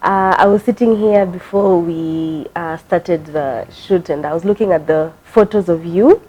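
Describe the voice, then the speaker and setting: A Kenyan woman hosts an interview, her voice mellow and on the lower side as she asks long, encouraging questions. She talks in a chatty, supportive way. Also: measured pace, slightly rough, muffled recording. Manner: encouraging, chatty, frequent backchannel. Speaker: talk show host.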